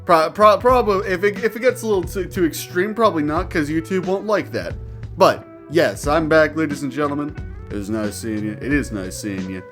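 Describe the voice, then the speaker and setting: A man's voice: on the high side, animated, a little fast, a bit bright, soft background music. A man hosting a gaming channel, casually telling rambling anecdotes with playful crude slang and silly nonsense words. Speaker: gaming storyteller and channel host.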